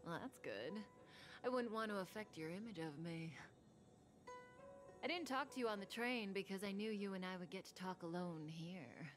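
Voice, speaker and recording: An actress playing a composed, enigmatic outlaw woman in a video game, speaking softly and deliberately with teasing confidence. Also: deep voice. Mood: coy, intimate. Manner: deliberate, soft-spoken, teasing, coolly confident. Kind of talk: video game voice acting, scripted dialogue.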